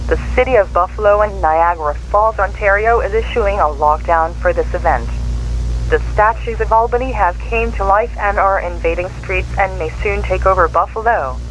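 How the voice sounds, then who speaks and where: A man's voice: high voice, normal speed, a bit bright, a little hiss or hum, poor audio. A man's synthetic-sounding voice reading a scripted emergency broadcast in a formal, impersonal manner. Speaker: emergency alert announcer.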